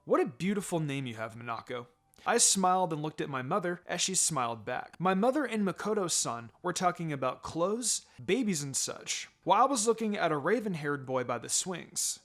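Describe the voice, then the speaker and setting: A man narrating fan fiction aloud in an expressive storytelling manner. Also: high voice, moderate pace, hardly any pauses.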